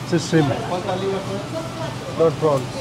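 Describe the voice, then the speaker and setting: Over loud ambient noise, a man with a South Indian accent chats casually in a fairly high voice. He points things out in short, plain remarks and often tags a little question on the end. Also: steady tone, slow. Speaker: vlogger shopping with a young companion. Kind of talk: casual walk-through commentary. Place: busy supermarket seafood and produce aisles.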